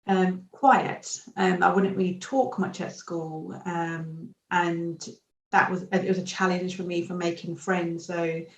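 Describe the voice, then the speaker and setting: Quiet, flat-voiced woman, distant roomy sound. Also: lowish voice. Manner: quiet, measured, hesitant. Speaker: panel guest. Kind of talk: video panel discussion. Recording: distant, roomy, dull audio.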